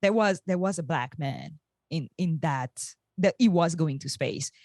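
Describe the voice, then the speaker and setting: A woman from France, co-hosting a podcast in a measured, reflective, casual way with pauses. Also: low-pitched, expressive, a little mellow, no echo, studio quality. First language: French. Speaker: podcast co-host.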